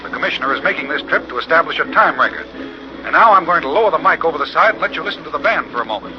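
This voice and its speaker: An American man playing an earnest, excitable on-the-scene reporter in a 1940s radio drama, announcing formally in a projected voice. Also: on the high side, rapid-fire, faint music behind, close to an everyday voice. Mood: upbeat, formal. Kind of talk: 1940s radio drama, live news commentary. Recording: muffled old radio, faint noise.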